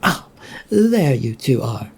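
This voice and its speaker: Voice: mid-range voice, big pitch swings, takes it slowly, creaky, breathy edge, exaggerated voice. A storyteller voicing a composed, matter-of-fact woman teacher with a dry, unruffled manner.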